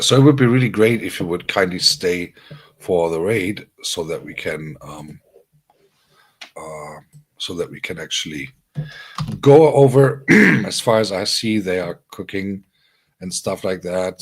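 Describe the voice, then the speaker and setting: A man's voice: low-pitched, unhurried, breathy edge. A man hosting a casual livestream, rambling through announcements for his viewers with many fillers and restarts. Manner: friendly, announcing upcoming streams to viewers. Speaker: livestream host and DJ.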